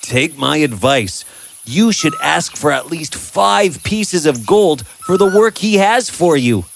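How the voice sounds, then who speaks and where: Man voicing an insistent, animated village friend. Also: on the high side, slow, vocal fry, faint music behind, heavily acted. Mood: concerned, insistent. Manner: insistent, advising. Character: concerned, persuasive male village friend.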